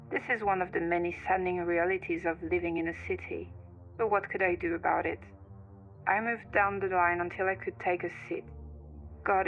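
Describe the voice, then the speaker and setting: Female horror narrator, scripted first-person storytelling, lowish pitch, muffled lo-fi recording, music underneath.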